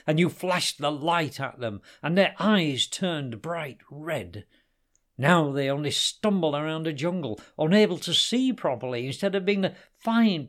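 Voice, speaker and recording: A man's voice: mid-range voice, vocal fry, exaggerated voice. A man voicing a fierce, proud male leopard who growls his words menacingly as a storyteller reads aloud.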